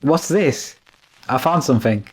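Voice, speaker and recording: An actor playing a deferential, uneasy but obedient manservant in an old-time radio drama. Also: male voice, medium pitch, expressive, unhurried, breathy edge, rough recording.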